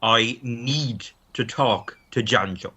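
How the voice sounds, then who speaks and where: A man's voice: mid-range voice, takes it slowly, pushed and bright, slightly rough, exaggerated voice. A man playing a composed, official police guard in a radio play.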